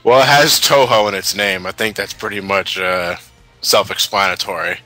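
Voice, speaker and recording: Male commentator, lower pitch, casual and questioning, game music underneath. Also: steady tone, moderate pace, sharp tone, no echo, rough recording. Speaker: gaming commentator. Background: video game music.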